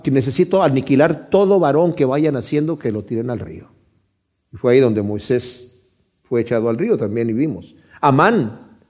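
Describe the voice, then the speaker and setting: Male preacher, earnest and conversational, husky mellow tone. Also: medium pitch. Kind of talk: sermon.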